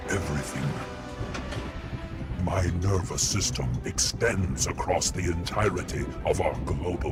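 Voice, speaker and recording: Deep, slow, swooping godlike machine intelligence. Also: male voice. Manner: slow, solemn proclamations. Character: vast awakening male machine intelligence, cold and grand. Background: loud game score.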